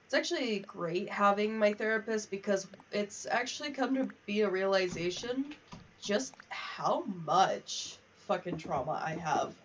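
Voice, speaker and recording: A woman hosting a casual gaming livestream. She talks candidly and wryly with plenty of swearing, at a middling pitch. The sound is dull and a little far from the microphone. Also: background noise. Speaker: livestream host. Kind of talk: casual gaming livestream chat, reading in-game letters aloud. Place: home office.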